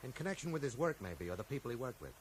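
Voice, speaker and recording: A man's voice: mid-range voice, sing-song, racing, a little hiss or hum, lightly acted. An actor playing a puzzled, brooding man in a radio drama, questioning and thinking aloud. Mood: troubled, probing. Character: puzzled, brooding American man investigating a mystery. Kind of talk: 1980s radio drama acting.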